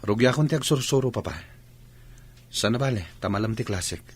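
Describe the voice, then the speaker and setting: A man's voice: medium pitch, expressive, fairly quick, slightly rough, dry recording. A man with an Ilocano accent playing a respectful, eager student son in a radio drama. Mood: eager, polite.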